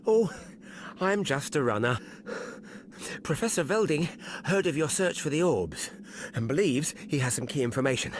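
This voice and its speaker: Male actor as eager game errand-runner: fairly high, lively intonation, courteous, faint ambience behind. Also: moderate pace, a little hiss or hum, close to an everyday voice. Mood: eager, breezy. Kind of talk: video game character acting.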